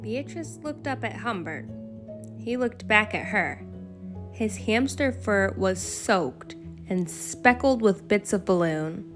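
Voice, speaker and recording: A woman reading a children's bedtime story aloud in an expressive, deliberate storytelling manner. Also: mid-range voice, faint music behind. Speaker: storybook reader.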